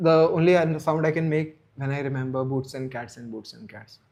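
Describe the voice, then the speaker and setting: A man's voice: mid-range voice, a little fast, darkish tone. A man, chatting casually on a live stream, rambling and answering viewers' chat. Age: 30s. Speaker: livestream host.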